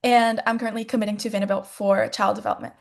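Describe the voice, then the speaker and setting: A woman's voice: medium pitch, level tone, quick pace, creaky. A young woman in her late teens casually introducing herself as a student guest. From United States.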